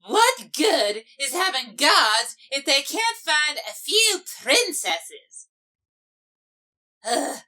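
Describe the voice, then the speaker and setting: A woman's voice: high voice, pushed and bright, exaggerated voice. A woman voicing a haughty, grumbling villain sorceress in a cartoon fan dub, imperiously muttering to herself. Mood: irritated.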